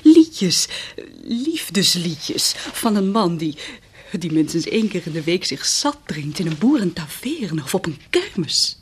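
A woman's voice: lowish voice, sing-song, unhurried, slight background noise. A proud, mocking young lady. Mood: scornful. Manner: cool, probing, mocking. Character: proud, sharp-tongued young lady of standing. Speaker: radio drama actress. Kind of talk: 1970s radio play acting. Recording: radio play with faint music.

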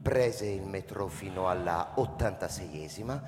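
A man narrating a story dramatically and theatrically, moving between hushed and intense delivery, in a reverberant hall. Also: low voice, measured pace, crowd noise. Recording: reverberant hall with long echo.

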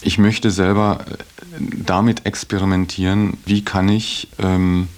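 Really deep slow guy, thoughtful interview talk, lots of hesitating, earnest. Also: flat delivery, darkish tone. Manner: deliberate, hesitant, earnest, articulate phrasing. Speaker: interviewee in a radio feature. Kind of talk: reflective spoken interview.

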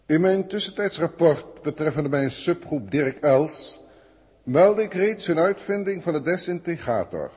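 A man's voice: on the high side, darkish tone, slightly rough. A man playing a pompous, exasperated senior alien official in a 1960s radio drama, speaking in a formal, theatrical way. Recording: old radio recording, long hall reverb.

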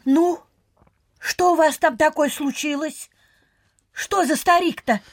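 An actress playing a wary, gossipy provincial lady in a radio play, with expressive, drawling, old-fashioned speech. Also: high voice, slow pace, no room sound, clean studio sound. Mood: curious. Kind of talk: Soviet radio play acting.

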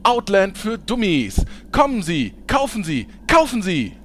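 A man's voice: high-pitched, wildly swooping pitch, unhurried, faint music behind, heavily acted. A man doing a pushy guild souvenir huckster. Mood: hawking. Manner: loud huckster sales patter. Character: pushy male guild merchant hawking souvenirs. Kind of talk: amateur radio comedy acting.

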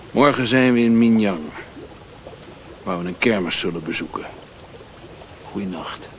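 An actor playing a fat, shady Chinese merchant in a radio play, mocking and philosophical, with a deliberate, taunting way of talking. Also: male voice, fairly low pitch, slight background noise, low-quality recording. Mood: taunting, menacing. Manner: sardonic, taunting, deliberate, given to aphorisms.

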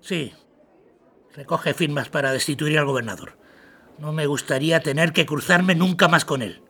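An actor from playing a resolute, formal association leader in an audio drama. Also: male voice, fairly high pitch, moderate pace, fairly bright tone. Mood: resolute, stern. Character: resolute, formal man leading a workers' association.

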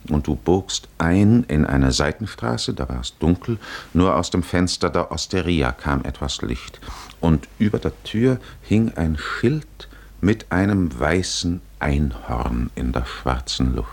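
Deep, slow male radio play narrator. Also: expressive, gravelly. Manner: musing, measured, with deliberate pauses. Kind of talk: literary 1950s radio drama narration.

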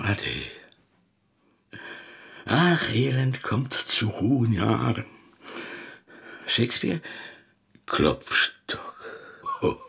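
A man's voice: on the low side, creaky, fairly bright tone, breathy edge, heavily acted. An actor in a radio play playing a melancholy, self-pitying old literary man who declaims, rambles and corrects himself. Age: older. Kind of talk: German radio play acting.

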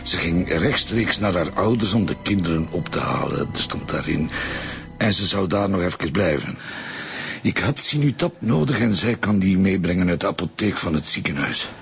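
An uneasy Flemish husband in a radio drama. The man's voice is deep, and he speaks in halting, defensive bursts, often repeating himself. Music is heard clearly behind him. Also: animated, a bit bright, heavily acted. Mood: subdued, anxious. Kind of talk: naturalistic radio drama acting.

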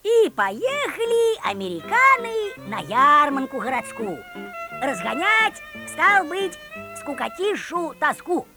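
An actress playing a folksy fairy-tale storyteller, narrating theatrically in rustic folk speech. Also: female voice, very high voice, very animated, slow pace, music in the background, heavily acted. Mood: folksy, lively.

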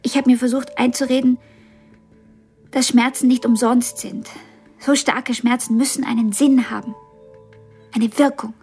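An actress in a radio drama playing a blind young pianist, wounded yet ambitious and frank, with a halting, intense delivery. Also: female voice, a little high, little pitch movement, a little mellow, soft background music. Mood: uncertain, strained.

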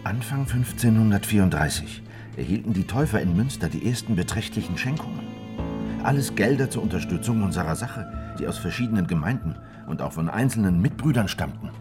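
An actor playing a driven, brooding revolutionary hunting a spy, intense and probing, in a German radio drama. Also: male voice, lowish voice, expressive, brisk, natural voice. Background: prominent music.

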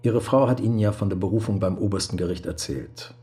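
An actor playing a plump, businesslike lawyer in a radio drama, speaking in a measured, matter-of-fact way. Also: male voice, fairly low pitch, dark tone, close to an everyday voice.

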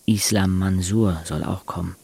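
A man's voice: sits low, steady tone, measured pace, vocal fry, mellow, husky, dry recording, heavily acted. An actor playing an introspective young man who narrates his own life matter-of-factly in a German audio drama.

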